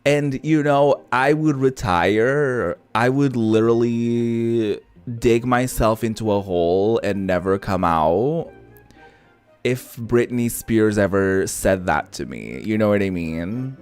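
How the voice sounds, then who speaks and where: A man's voice: on the low side, slow pace, darkish tone, smooth, soft background music. A man giving casual, sassy, digressive game commentary in slangy speech. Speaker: gaming streamer.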